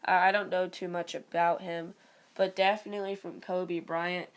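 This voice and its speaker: A woman with a raspy voice and a stuffy nose, giving rambling, casual sports commentary for her video channel. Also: fairly low pitch, level tone, moderate pace. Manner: rambling, full of "like" and "you know". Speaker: video creator.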